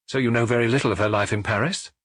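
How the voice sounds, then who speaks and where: A man voicing a calm male police inspector who asks measured, probing questions. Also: mid-range voice, dry recording, natural voice.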